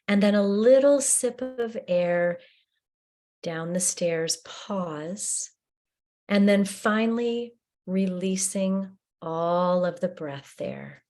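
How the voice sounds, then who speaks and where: A woman leading a guided breathing meditation in a slow, gentle, soothing way with long pauses. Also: on the low side.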